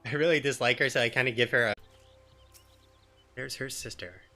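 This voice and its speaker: A man's voice: mid-range voice, fairly even intonation, normal speed, bright, forceful tone. A man giving casual, blunt let's play commentary with some swearing. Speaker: game streamer doing a let's play. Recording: game music behind the voice. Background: video game music.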